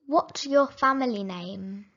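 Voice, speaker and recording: A woman reading simple English phrases for learners, saying each sentence slowly and clearly, then repeating it. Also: fairly high pitch, a bit bright, highs cut off. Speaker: English teacher.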